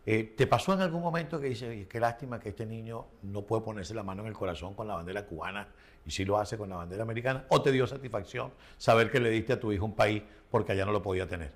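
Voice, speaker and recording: A man with a Venezuelan Spanish accent hosts the conversation in a somewhat low voice. He asks measured, probing questions and reflects on his guest's answers. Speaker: interview host. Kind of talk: conversational interview.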